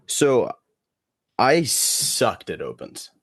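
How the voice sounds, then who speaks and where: A man chatting casually as a podcast guest, rambling and halting, with plenty of slang. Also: mid-range voice, sing-song, breathy edge, no echo. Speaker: guest, competitive cornhole player.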